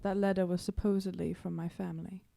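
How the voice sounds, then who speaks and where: A woman's voice: fairly low pitch, little pitch movement, quick pace, creaky, mellow, no room sound. A woman playing a tabletop roleplaying game, talking informally and thinking aloud as she improvises her character's moves and lines.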